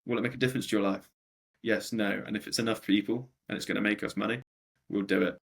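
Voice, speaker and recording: A young man in his twenties, a startup co-founder answering interview questions in a conversational way with a deep voice and frequent ums. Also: steady tone, quick pace, creaky, slightly rough. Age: 20s.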